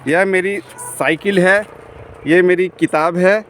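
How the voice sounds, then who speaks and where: A man in his forties recites his poems in a high voice, slowly and rhythmically, with pauses between lines. He is on a bicycle outdoors, and faint traffic hums behind him. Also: steady tone, fairly bright tone. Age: 40s. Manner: measured, rhythmic reading with pauses. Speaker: poet. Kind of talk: poetry recitation while cycling.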